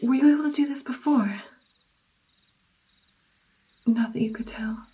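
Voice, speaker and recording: Woman, breathy whisper, slow pace, soft mellow tone, gentle vampire mentor, intimate ASMR roleplay. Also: on the high side.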